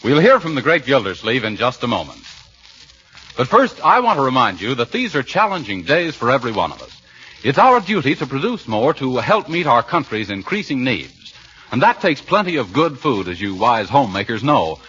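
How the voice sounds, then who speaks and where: A man's voice: medium pitch, big pitch swings, normal speed, bright, forceful tone, muffled recording. A man announcing for 1940s radio in a polished, earnest, scripted sales style. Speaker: radio announcer and sponsor spokesman. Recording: old 1940s radio broadcast, band-limited, slightly husky.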